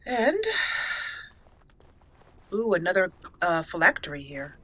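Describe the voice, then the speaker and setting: A woman's voice: on the low side, big pitch swings, takes it slowly, a bit bright. A woman hosting a let's play, chatting through her gameplay in an upbeat way and reading the game's text aloud as roleplay narration. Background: faint game music.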